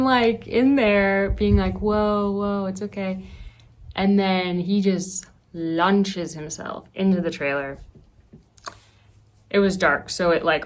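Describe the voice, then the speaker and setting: Woman vlogger, kinda low voice, takes her time, chatty storytelling and acts out the reactions. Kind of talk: casual personal storytelling.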